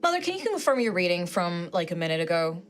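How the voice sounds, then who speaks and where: A woman's voice: on the low side, big pitch swings, quick pace, soft background music. A woman playing a tabletop role-playing game, moving casually between table talk and role-play.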